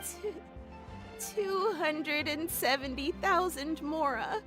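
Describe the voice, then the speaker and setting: A woman's voice: sits high, slow pace, music in the background. A woman voicing a dramatic, grandiose young female engineer in a video game. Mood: despairing. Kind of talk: English video game voice acting.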